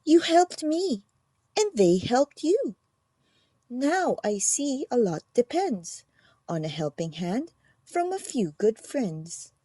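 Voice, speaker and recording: A woman's voice: on the high side, big pitch swings, slow, mellow, husky, dry recording, full character voice. A woman voicing a big, self-important male dump truck in a children's storybook, with a honking, pompous manner. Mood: grateful.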